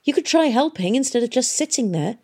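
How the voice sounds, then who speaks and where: A woman's voice: sits high, big pitch swings, fairly quick, mellow, pitched above the performer's own voice. A woman voicing an indignant teenage boy who protests urgently.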